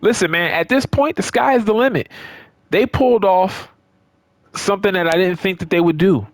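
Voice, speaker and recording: A man's voice: high-pitched, normal speed, no echo. A man talking casually and off the cuff to his viewers as a gaming YouTuber, emphatic and repeating phrases for stress, often checking in with the listener. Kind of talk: casual, unscripted vlog commentary to viewers.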